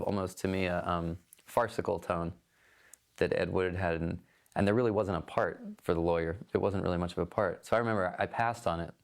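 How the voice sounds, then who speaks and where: A man in a retrospective interview, telling in a relaxed, conversational way how he came to take a film role. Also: sits low, vocal fry. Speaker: actor recalling a film role.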